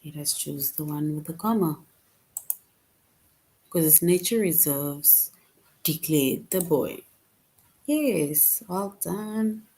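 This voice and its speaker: Low-voiced female teacher, slow and patient, walks children through answer choices. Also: animated, mellow, poor audio. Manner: slow, patient, reads answer options aloud. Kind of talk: online grammar lesson for children.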